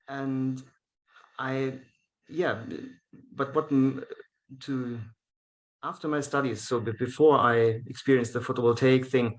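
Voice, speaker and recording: German man telling his story with halting pauses. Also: medium pitch, a bit bright, poor audio. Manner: hesitant, many fillers and restarts, laughs often. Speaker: guest, event organizer. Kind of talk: casual interview chat.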